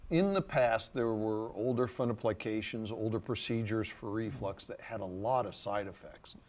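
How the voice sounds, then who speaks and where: Guy talking like a surgeon, easygoing, kinda low pitched. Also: moderate pace, a little mellow, a little hiss or hum. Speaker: surgeon guest. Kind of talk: casual panel chat.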